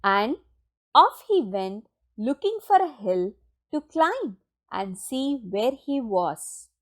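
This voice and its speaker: A woman reading a children's picture book aloud in an expressive, deliberate storytelling manner with dramatic pauses. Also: fairly high pitch. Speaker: storybook reader.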